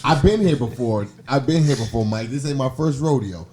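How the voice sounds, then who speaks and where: A man's voice: mid-range voice, brisk, warm tone, a little hiss or hum. A man telling a comic story in casual, slangy conversation, acting out the exchanges as he goes. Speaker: podcast guest telling personal stories.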